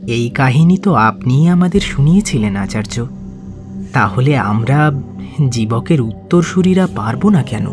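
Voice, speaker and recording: A man's voice: mid-range voice, animated, unhurried, close to an everyday voice. A man playing a clever, determined young monk student in an audio drama, speaking earnestly and expressively. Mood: earnest, confident. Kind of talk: Bengali audio story acting. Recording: prominent music behind the voice.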